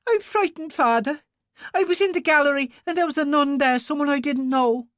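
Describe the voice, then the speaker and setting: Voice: sits high, a little fast, a bit bright, no echo, studio quality, voice pushed up. A narrator voicing a frightened young altar boy in an audiobook thriller.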